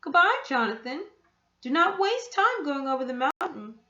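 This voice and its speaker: A woman voicing a brisk, slightly cross aunt in a children's storybook. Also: sits high, big pitch swings, pushed and bright, voice pushed up, full character voice. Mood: brisk, evasive. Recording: echoey room, dull muffled sound.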